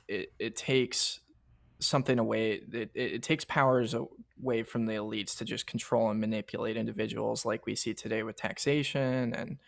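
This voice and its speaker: A man's voice: medium pitch, vocal fry, no echo, clean studio sound. A man hosting a podcast, talking casually with a lot of "you know" and "um" fillers.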